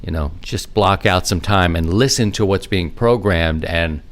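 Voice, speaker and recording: A middle-aged man with a fairly low voice hosts a podcast on his own. He talks casually and conversationally, wandering from one thought to the next with animated asides. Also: measured pace. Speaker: amateur radio podcast host. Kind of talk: casual solo podcast monologue.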